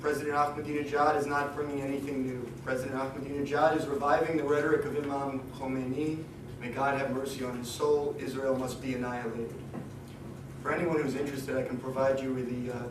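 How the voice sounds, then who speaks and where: A man giving a seminar lecture, hesitant with many ums and restarts, his voice going hoarse. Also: mid-range voice, flat delivery, brisk. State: losing his voice. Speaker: guest lecturer. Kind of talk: academic seminar talk. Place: lecture room. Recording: echoey room recorded from a distance, noisy.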